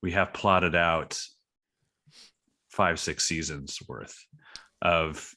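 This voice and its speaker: Man with a very deep voice. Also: very slow, bright, forceful tone. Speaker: podcast guest, indie filmmaker and graphic novel creator. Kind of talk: casual interview chat.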